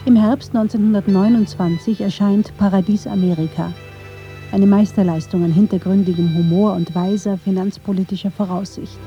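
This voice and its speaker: Woman narrating a radio documentary, really dark warm tone, bit of music behind. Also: mid-range voice, fairly even intonation, normal speed, lo-fi sound.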